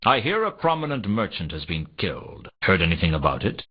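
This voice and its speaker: An actor playing a world-weary, sardonic noir private detective in a video game, with a dry, deadpan delivery. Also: male voice, low voice, a little fast, slightly rough, full character voice. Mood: probing. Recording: dull, lo-fi game audio.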